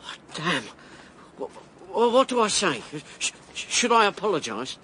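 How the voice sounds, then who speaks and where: Radio drama role: brash ex-army candidate, defiant and volatile, forceful high-ish delivery that swings widely, some background noise. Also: male voice, big pitch swings, moderate pace, exaggerated voice. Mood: agitated. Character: brash, drunken, volatile male election candidate and ex-army major.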